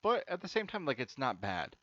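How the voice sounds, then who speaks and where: A man's voice: medium pitch, huge pitch swings, fairly quick, sharp tone. A young man giving casual, rambling let's-play commentary as the host of a gaming video. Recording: dry, slightly dull lo-fi mic.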